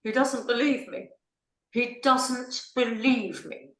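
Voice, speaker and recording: A woman's voice: a little high, slow pace. A woman playing a haughty, indignant society lady in a comic play, imperious and theatrical. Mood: distraught. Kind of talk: comic stage play reading. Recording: roomy, echoing sound.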